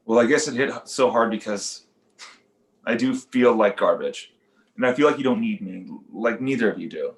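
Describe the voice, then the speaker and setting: A man playing an insecure, sarcastic failed-comedian father, defensive and sardonic, in an animated comedy read. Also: lowish voice, moderate pace, close to an everyday voice. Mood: wounded, sheepish.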